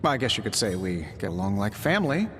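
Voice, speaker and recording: An actor voicing a cocky, sarcastic scientist superhero in a video game, with a glib, wisecracking way of talking. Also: male voice, on the low side, big pitch swings, fast, fairly bright tone, in a large hall, a little hiss or hum. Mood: teasing, wry.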